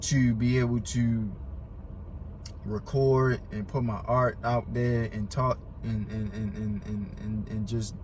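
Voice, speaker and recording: A man's voice: on the low side, fairly even intonation, slow, darkish tone. American man with a stutter, noisy recording. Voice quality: stutter, word and syllable repetitions. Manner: emphatic, repeats himself for emphasis. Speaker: podcast guest. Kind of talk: casual chat, heavy slang and swearing.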